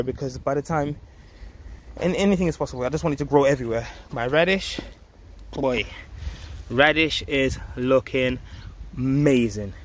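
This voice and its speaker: A man in his thirties giving a casual, enthusiastic update to viewers, speaking emphatically into a handheld phone outdoors. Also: medium pitch. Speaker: vlog host, allotment gardener. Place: outdoors on an allotment garden. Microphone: handheld phone at close range. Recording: outdoor ambient and traffic noise. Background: outdoor ambience, vehicle noise.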